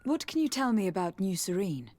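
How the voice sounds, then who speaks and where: A woman's voice: medium pitch, sing-song, a little fast, darkish tone, no echo. An actress playing a courteous, inquisitive noblewoman envoy and adventurer in a video game, asking questions formally. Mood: curious, courteous. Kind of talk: scripted video game dialogue.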